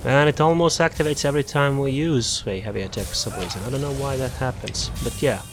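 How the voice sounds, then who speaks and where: A man's voice: mid-range voice, quick pace, music in the background. A man casually explaining a video game build as he plays, improvising and correcting himself as he goes. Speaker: gamer presenting a character build guide. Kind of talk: casual gameplay guide commentary.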